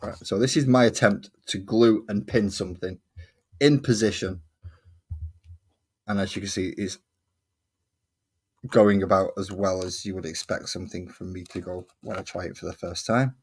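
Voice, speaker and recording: A man's voice: low-pitched, steady tone, moderate pace, pauses often, a little mellow, slightly rough. An English man chatting casually and self-deprecatingly while building a hobby model on his stream. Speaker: hobby streamer painting miniatures. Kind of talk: casual chat while crafting.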